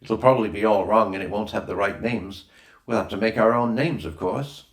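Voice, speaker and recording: A man's voice: fairly low pitch, brisk, natural voice. A man reading a storybook, voicing a confident young boy captain with a decisive, instructive way of talking, in a roomy space. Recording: roomy echo on the voice.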